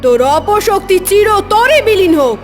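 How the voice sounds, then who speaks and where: A woman's voice: very high voice, very animated, unhurried, over music, full character voice. A woman voicing a mighty, wrathful and protective mother goddess in a devotional cartoon, solemn and declamatory. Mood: wrathful, cursing. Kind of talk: devotional horror cartoon voice acting.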